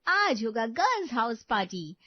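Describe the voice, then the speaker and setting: A woman plays a bubbly young sister-in-law from a cartoon, chatty, caring and eager. Her voice is high, captured dry with a slightly dull, lo-fi tone. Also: very animated, moderate pace, no echo. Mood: playful.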